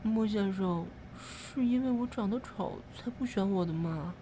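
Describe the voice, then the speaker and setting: A woman's voice: medium pitch, a little slow, warm tone, slight background noise, close to an everyday voice. A woman voicing a shy, lovestruck young tomato in an audio drama, with a bashful, gushing manner. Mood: wistful. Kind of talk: Chinese audio drama acting. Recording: music behind the voice.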